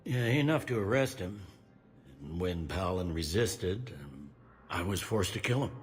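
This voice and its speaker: A middle-aged police commander in a video game, with a low voice. He is cynical and tired, speaking plainly and with dry candor. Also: animated, a little slow, soft background music. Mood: wry, matter-of-fact. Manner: plain-spoken, wry, world-weary.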